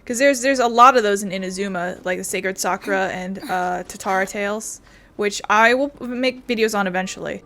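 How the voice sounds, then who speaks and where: A woman's voice: medium pitch, normal speed, pushed and bright. A young woman giving casual, informal let's play commentary with a wry manner. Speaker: gaming video creator. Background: game music.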